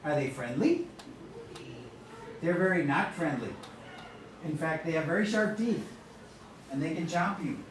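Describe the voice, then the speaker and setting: A man's voice: a little high, expressive, measured pace, pauses often, slight background noise. A male math teacher lecturing a classroom in a chatty, joking way while losing his voice. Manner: chatty, jokey, moves around the room.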